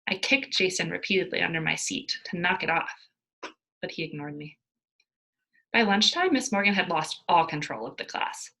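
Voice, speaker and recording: Husky-edged woman reading a story aloud. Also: on the low side, expressive, normal speed, pushed and bright. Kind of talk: expressive storybook read-aloud.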